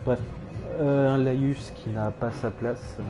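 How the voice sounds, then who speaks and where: A man's voice: medium pitch, steady tone, a little slow, music in the background. A man streaming a game, rambling hesitantly with many fillers and self-corrections, with dry irony. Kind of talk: casual rambling game commentary.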